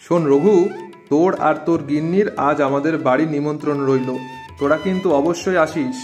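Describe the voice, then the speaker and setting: A man's voice: a little high, a little fast, a little mellow, soft background music, lightly acted. A man playing a hospitable village working man in a story cartoon, chatting in a hearty, familiar way. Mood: friendly, inviting. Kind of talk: Bengali moral-story cartoon dubbing.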